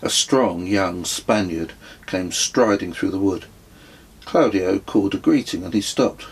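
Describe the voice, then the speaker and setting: Slow, husky male storyteller. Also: low-pitched, low-quality recording. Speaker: author reading own book. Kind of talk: audiobook memoir narration.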